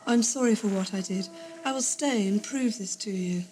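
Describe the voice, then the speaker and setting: A woman's voice: mid-range voice, normal speed, darkish tone, a little hiss or hum, close to an everyday voice, poor audio. A woman playing a repentant but assertive former henchwoman in an audio drama, speaking earnestly. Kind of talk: 1980s amateur audio drama acting.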